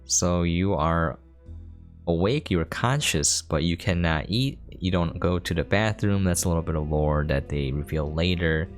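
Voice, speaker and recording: Low voiced guy streaming a game, chatty explaining stuff, faint music in the back. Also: a little slow. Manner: informal, explanatory, conversational. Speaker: gameplay streamer, commentator. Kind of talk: casual game commentary.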